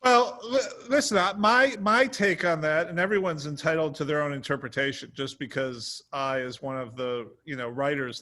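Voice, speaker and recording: A man speaking casually on a panel as a writer, telling stories in an animated way and acting out quoted remarks. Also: on the high side.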